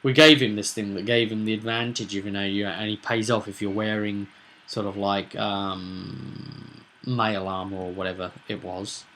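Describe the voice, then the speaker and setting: A man's voice: fairly low pitch, steady tone, moderate pace, gravelly, fairly bright tone, a little hiss or hum. Casual, rambling man explaining a tabletop game. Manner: conversational, rambling, with frequent asides to the listener. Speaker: tabletop roleplay vlog host.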